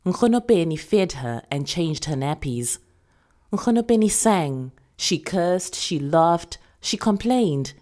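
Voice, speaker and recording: A South African woman reading a literary short story with expressive, deliberate, dramatic delivery. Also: sits low.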